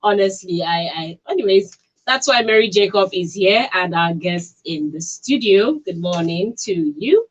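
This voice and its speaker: A woman's voice: medium pitch, animated, a little slow, low-quality recording. A woman with a Nigerian accent hosting a live talk radio show in a studio. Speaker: radio host.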